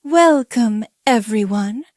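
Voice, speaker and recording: A performer, a man, voicing a glamorous saleswoman with a smooth, commanding, teasing purr for a story. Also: high-pitched, expressive, slow, no echo, clean studio sound, voice pushed up. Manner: purring, commanding showmanship.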